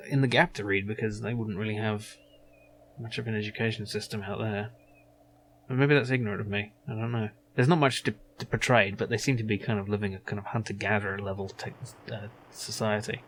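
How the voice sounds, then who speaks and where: A man's voice: on the low side, slight background noise. A man giving casual let's play commentary, thinking aloud in a wry, musing way. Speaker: video game streamer.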